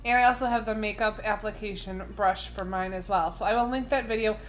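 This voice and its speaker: A middle-aged woman, slightly hoarse from a head cold, chatting casually about beauty products at home with a few fillers. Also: mid-range voice, steady tone, brisk. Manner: chatty, explaining with frequent fillers. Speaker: beauty vlogger, mother. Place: indoor home room with string lights.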